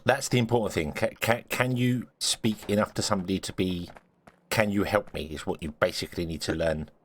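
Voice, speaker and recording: A man's voice: deep voice, brisk, a little hiss or hum. A British man chatting jokingly with a friend over a game, often stumbling and restarting his sentences. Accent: British English. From Britain. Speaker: co-op gameplay commentator. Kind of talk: casual gaming chat.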